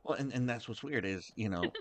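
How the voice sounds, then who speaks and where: A man hosting a game livestream, chatting casually in a halting, rambling way. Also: medium pitch, a bit bright. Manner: halting and rambling, many restarts. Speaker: game streamer, host.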